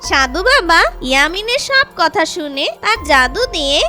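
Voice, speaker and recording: A children's story narrator with an extremely high, childlike voice. The scripted delivery is lively and swings in pitch, over clear background music. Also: normal speed.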